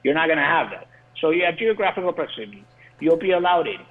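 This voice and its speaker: A man's voice: a little high, big pitch swings, fast talker. A man who grew up in Colombia, speaking as a guest industry insider, earnest and prone to restarting his words. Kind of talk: explaining in an interview. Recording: phone-line call-in.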